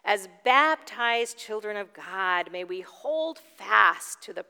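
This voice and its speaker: Slow-preaching woman pastor in a reverberant church. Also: medium pitch, expressive, creaky, bright, forceful tone, clear, resonant tone. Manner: slow, measured preaching with occasional stumbles. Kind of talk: sermon.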